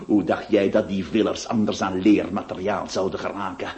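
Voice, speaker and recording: An actor playing a scheming notary who swings between syrupy coaxing and gleeful threats. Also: male voice, deep voice, steady tone, moderate pace, a little mellow. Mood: gleefully menacing. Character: scheming middle-aged male notary, wheedling yet menacing. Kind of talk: radio drama acting.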